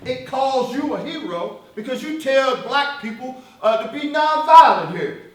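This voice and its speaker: Heard far off in a very echoey hall, a male stage actor plays a defiant militant leader with a high-pitched male voice. He argues like an accusing orator, leaning hard on words and pausing deliberately. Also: a bit bright. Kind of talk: live stage play acting. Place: stage in a reverberant room, recorded from afar. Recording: echoey hall, distant microphone.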